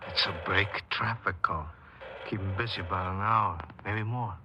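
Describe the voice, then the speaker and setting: A remorseless, cocky killer in a vintage radio crime drama. His voice sits low, and he gives orders and confessions in the same flat, clipped way. The recording is dull and heavy with noise. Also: normal speed. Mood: cool, calculating. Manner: matter-of-fact, clipped, commanding. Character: cold remorseless male killer and burglar, cocky.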